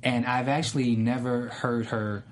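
A woman's voice: rumbling low, fairly even intonation, a little slow, a little mellow, slight background noise. A woman host asking a guest questions in a casual, hesitant way with many fillers.

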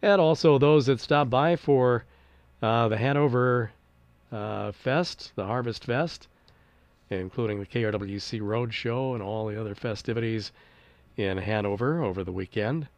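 A middle-aged man hosting a local radio call-in show in a studio, hoarse and raspy from congested sinuses, talking in an easygoing, chatty way. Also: mid-range voice, a little slow, dry recording. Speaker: radio host. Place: small-town radio studio. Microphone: studio microphone.

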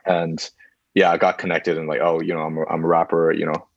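A man who grew up in China, chatting casually as a guest, talking in a relaxed, rambling way with lots of fillers. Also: extremely low pitch, fairly quick, vocal fry, highs cut off, slight background noise. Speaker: guest musician. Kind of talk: casual conversation.

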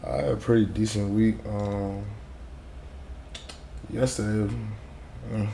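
Sleepy, gravelly young man. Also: lowish voice, takes it slowly, slight background noise. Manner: relaxed storytelling, laughing. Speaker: podcast co-host. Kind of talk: casual podcast chat.

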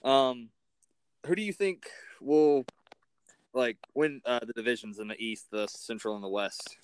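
A man's voice: mid-range voice, measured pace, clean tone, dry recording, low-quality recording. A man hosting a casual sports interview as the interviewer.

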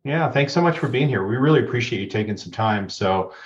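A man's voice: mid-range voice, animated, fast talker. A man hosting a casual podcast, easygoing and jokey. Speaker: podcast host. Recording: dull lo-fi sound.

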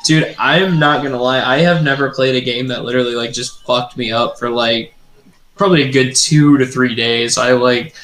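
A man's voice: mid-range voice, fairly even intonation, normal speed, fairly bright tone. A man in casual, enthusiastic conversation as a gaming show co-host, with slangy talk and plenty of swearing. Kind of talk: casual chat. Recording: roomy echo.

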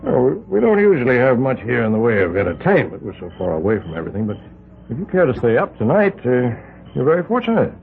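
A man's voice: mid-range voice, huge pitch swings, fast talker, creaky, a little hiss or hum. An actor playing a secretive island man who guards his land with a rifle, polite on the surface and clipped when giving orders. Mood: sly courtesy. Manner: politely ominous, then clipped and commanding. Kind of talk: 1970s radio drama acting. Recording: narrow-band 1970s radio broadcast.

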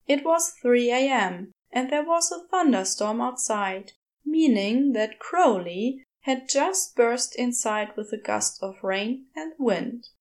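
A woman's voice: on the high side, expressive, slow. A woman reading a story aloud as scripted fiction narration. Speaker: podfic reader.

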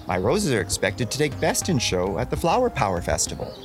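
A man's voice: mid-range voice, wildly swooping pitch, fairly quick, soft background music. Man acting a wildly swooping, indignant garden owner. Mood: defensive, wounded. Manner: pleading, dramatic protesting. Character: indignant, defensive male owner of a prized garden. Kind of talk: comic radio mystery acting.

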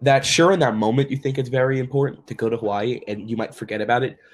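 A man's voice: mid-range voice, quick pace. Rambling young man, podcast host. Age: young adult. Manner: rambling, many "like" fillers. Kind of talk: casual chat.

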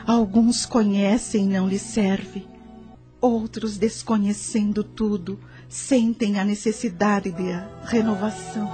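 A Brazilian actress playing a gentle, wise spiritual guide in a radio soap, with a measured, soothing and solemn manner. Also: female voice, medium pitch, steady tone, faint music behind, lightly acted. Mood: solemn, serene.

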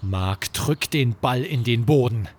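A man narrating a scripted children's bedtime story as podcast host, with expressive, dramatic storytelling. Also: mid-range voice, slow pace, slight background noise.

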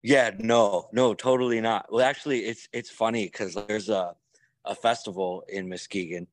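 A man from Chicago, a musician playing a quiz game, chatting in a rambling, halting way with lots of fillers over a video call. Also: on the low side, steady tone, no room sound. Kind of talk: casual chat.

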